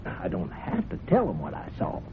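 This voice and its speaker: A tinny, noisy 1970s radio drama features a folksy stable hand with blackmail on his mind. He hems and rambles slyly, his pitch sliding up and down as he insinuates. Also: wildly swooping pitch, normal speed. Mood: sly, taunting. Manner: hesitant, insinuating, rambling drawl.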